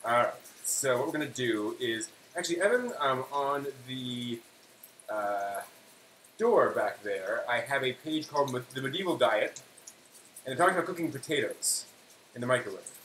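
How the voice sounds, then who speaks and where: A man hosting a casual cooking live stream, explaining steps haltingly as he thinks aloud. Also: mid-range voice, animated, fairly bright tone. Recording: roomy, far mic, clear background hum.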